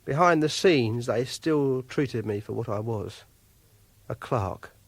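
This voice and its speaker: An actor playing an obsessive, lonely, self-pitying English clerk, confiding haltingly in a first-person radio drama monologue. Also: male voice, medium pitch, animated, husky, no room sound, exaggerated voice. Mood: bitter, resigned.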